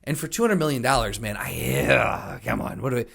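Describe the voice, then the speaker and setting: A male podcast co-host chatting casually at a middling pitch. He sounds exasperated and swears freely as he vents, circling back over his phrases and stressing them as he goes. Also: expressive, a little fast, creaky, a bit bright, breathy edge. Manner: profane, exasperated venting. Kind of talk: casual chat about movies.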